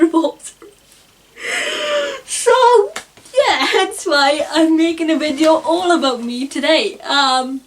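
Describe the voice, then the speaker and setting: Giggly high-voiced woman, twenties. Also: far from the mic. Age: 20s. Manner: giggly, self-conscious, rambling. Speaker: vlogger. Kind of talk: unscripted vlog, casual talk to camera.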